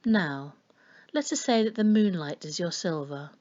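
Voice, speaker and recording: A woman voicing a gentle, solemn fortune-teller in a storybook, murmuring slowly and knowingly. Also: fairly low pitch, animated, muffled recording. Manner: gentle, murmuring, slow and portentous. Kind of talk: children's storybook reading.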